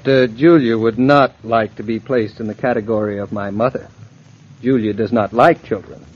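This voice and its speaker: An actor playing a wry young husband with a dry, bantering, affectionate manner, in 1940s radio drama style. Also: male voice, lowish voice, steady tone, moderate pace, lightly acted. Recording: dull lo-fi old radio recording.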